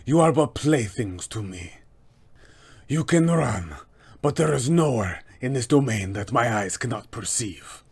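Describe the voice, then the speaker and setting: A man voicing a menacing aristocratic vampire lord for a tabletop game, raspy and creaky, with slow, pompous delivery. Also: medium pitch, expressive, heavily acted. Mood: contemptuous, menacing.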